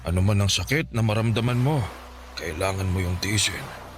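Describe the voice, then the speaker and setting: Low-voiced grave Filipino village healer played by a man. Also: natural voice. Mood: grave, warning. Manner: deliberate, knowing, murmurs thoughtful hums. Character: grave, calm older male village folk healer. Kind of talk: Filipino radio drama acting. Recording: clearly noisy background ambience.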